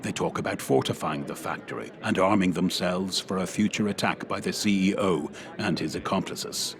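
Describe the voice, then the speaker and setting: A man's voice: low-pitched, normal speed, crowd noise. A man reading scripted, dramatic narration for a radio play.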